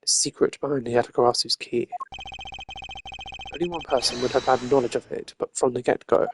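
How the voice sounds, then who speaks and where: A woman voicing a proud, determined young male prosecutor in a video game, speaking in a measured, formal, accusing way. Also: rumbling low, steady tone, background noise, pitched below the performer's own voice. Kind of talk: game character voice acting.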